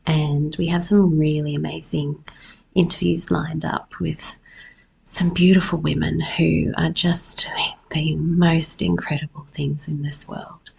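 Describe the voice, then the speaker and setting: A woman podcast host with a husky, hoarse voice, talking personally and reflectively in an unhurried solo episode. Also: low-pitched, slight background noise. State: hoarse after losing voice. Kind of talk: personal solo podcast talk about herbs.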